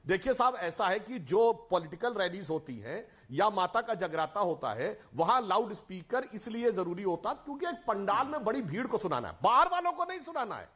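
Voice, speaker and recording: A man's voice: high-pitched, sing-song, normal speed, hardly any pauses, a bit bright, breathy edge. A man on a television debate panel making his case with rhetorical questions and long lists of points. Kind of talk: heated TV debate argument.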